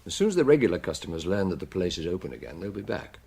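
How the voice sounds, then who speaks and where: An actor playing a shrewd, unflappable police chief inspector, wry and thoughtful, in a radio drama. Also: male voice, sits low, big pitch swings, very fast, gravelly, darkish tone, husky, heavily acted. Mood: planning.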